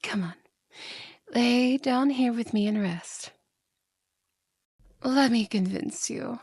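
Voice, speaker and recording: A woman's voice: fairly high pitch, slow pace, a little mellow, husky, no echo, full character voice. A woman playing a seductive, mocking yandere demon in an ASMR roleplay, coaxing and theatrical, often slipping into whispers.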